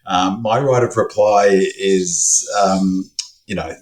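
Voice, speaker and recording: An Australian man with a croaky, hoarse voice, chatting casually as a podcast's stats analyst and rambling through many ums and restarts. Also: deep voice, steady tone, takes it slowly, roomy sound.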